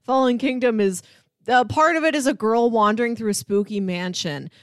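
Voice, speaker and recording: A woman's voice: on the high side, normal speed, no echo, clean studio sound. A woman in casual film discussion, musing aloud with wry, joking asides. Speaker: film podcast host.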